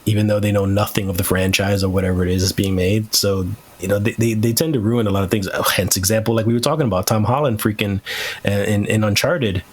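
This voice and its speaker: Low-voiced man chatting casually. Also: steady tone, quick pace, husky. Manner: opinionated, swears freely. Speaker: podcast host. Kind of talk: casual chat.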